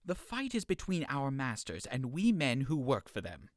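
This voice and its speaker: An actor playing a teasing, sharp-tongued young male servant in an audio drama. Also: mid-range voice, huge pitch swings, normal speed, no echo, studio quality. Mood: mocking. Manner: teasing, mocking.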